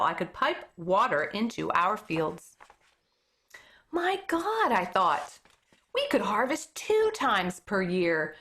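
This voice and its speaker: Woman teacher, storybook read-aloud, bright tone, animated pitch, fixes her slips as she goes. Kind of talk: reading a book chapter aloud.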